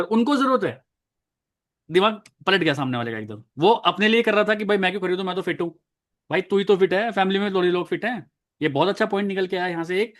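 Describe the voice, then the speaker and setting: A young man in his twenties who trains network marketers, playing a doubtful customer and then coaching viewers on how to answer them. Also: fairly high pitch. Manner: animated, coaching the viewer directly. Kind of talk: role-play sales training.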